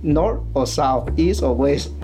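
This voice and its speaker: A man from Oaxaca, Mexico, whose first language is Triqui, speaking with a Mexican Spanish accent as a community worker giving an interview in halting, simple phrases. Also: on the high side, lively intonation, mellow, faint music behind.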